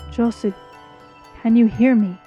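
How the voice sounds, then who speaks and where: A woman's voice: on the high side, fairly even intonation, measured pace, dark tone, lightly acted. A woman playing a proud, defiant young apprentice sorceress in a dramatized fantasy story, cool and determined. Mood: urgent, soothing. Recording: dull microphone, music behind.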